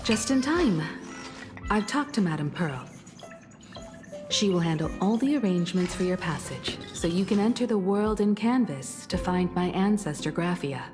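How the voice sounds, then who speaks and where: A woman voicing a composed, gentle, dutiful noblewoman in a video game, formal and measured, over music. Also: fairly low pitch, expressive, natural voice. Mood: calm, earnest.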